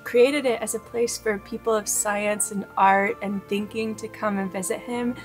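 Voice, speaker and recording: A woman's voice: medium pitch, fairly even intonation, moderate pace. A young woman travel vlogger chatting casually and expressively outdoors, with an enthusiastic manner. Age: young adult. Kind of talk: casual vlog chat. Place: outdoors in a hilltop town. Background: background music.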